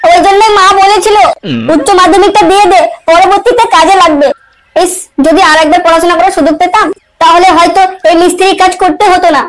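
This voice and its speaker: A man's voice: very high-pitched, steady tone, fast talker, fairly bright tone, low-quality recording. A man voicing a bitter, foul-mouthed young cartoon labourer who grumbles crudely. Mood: regretful. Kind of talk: amateur cartoon comedy dub.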